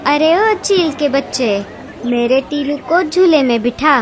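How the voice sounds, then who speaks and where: High-voiced, protective old aunt bird played by a woman. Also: lively intonation, unhurried, a faint crowd. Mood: commanding. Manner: firm, admonishing. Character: protective grandmotherly aunt bird, righteous and bossy. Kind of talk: animated children's story dialogue.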